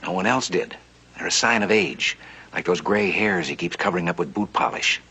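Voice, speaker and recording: An actor playing a smooth, scheming banker in a 1950s television western, dry and persuasive in the way he talks. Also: low voice, normal speed, bright, forceful tone, heavily acted. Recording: old TV soundtrack with faint music.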